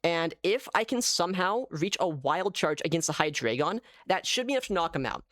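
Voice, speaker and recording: A man giving casual live gameplay commentary as a streamer, thinking aloud in an animated way. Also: fairly high pitch, a little fast, no room sound, clean studio sound. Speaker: gaming streamer.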